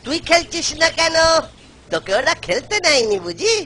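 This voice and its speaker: A man's voice: very high voice, very animated, normal speed, sharp tone, exaggerated voice. A man voicing a clever, avuncular older village jester in a comic cartoon, coaxing and playful. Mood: concerned, coaxing. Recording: music behind the voice.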